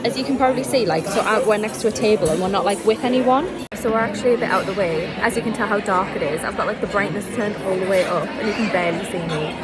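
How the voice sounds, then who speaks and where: A woman's voice: medium pitch, quick pace, few pauses, loud music behind the voice, a loud crowd. A young woman from the UK chatting casually to camera in a rambling, informal way as she vlogs. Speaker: vlogger. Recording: very noisy, busy background, camera microphone.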